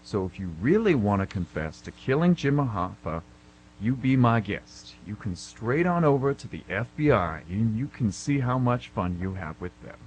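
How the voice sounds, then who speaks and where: A man's voice: fairly low pitch, sing-song, normal speed, a little mellow, lo-fi sound. A man playing a stern, unimpressed police officer in a radio play, speaking curtly with sarcasm. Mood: curt, sarcastic. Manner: curt, unimpressed, warning. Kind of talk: amateur radio play acting. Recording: hum and clear background noise.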